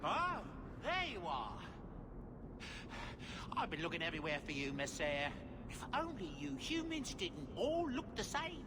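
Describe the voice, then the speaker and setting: A fussy dwarf merchant, swooping pitch. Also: male voice, wildly swooping pitch, unhurried, background noise, heavily acted. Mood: fussy, obliging. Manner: servile, chatty, formal politeness. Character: short bearded male dwarf merchant, deferential, fussy, grateful. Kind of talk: video game character acting.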